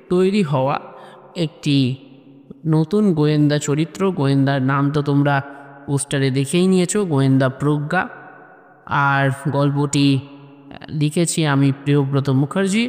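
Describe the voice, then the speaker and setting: A man hosting and introducing an audio story. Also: a little high. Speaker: channel host and story writer. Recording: long hall-like reverb.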